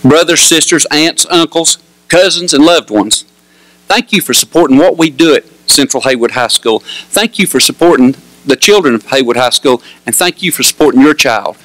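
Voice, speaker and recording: A man, a high school principal making measured, courteous ceremony announcements into a microphone in an auditorium. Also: animated, a bit bright, no echo, rough recording. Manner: measured, courteous emcee delivery.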